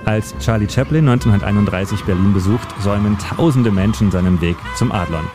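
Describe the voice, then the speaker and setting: A man narrating a scripted history feature for radio in a measured storytelling manner. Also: lowish voice. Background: instrumental music bed.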